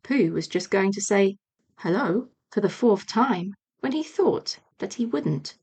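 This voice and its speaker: A woman reading a children's storybook as an audiobook narrator, measured and expressive. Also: medium pitch, husky. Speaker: audiobook reader.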